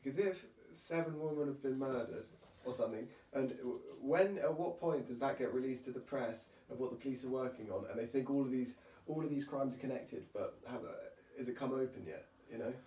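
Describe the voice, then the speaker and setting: A man's voice: mid-range voice, brisk, few pauses, dark tone. A man hosting a podcast in casual chat, chatty and jokey, asking lots of questions. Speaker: podcast host. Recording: roomy, distant mic with faint hiss.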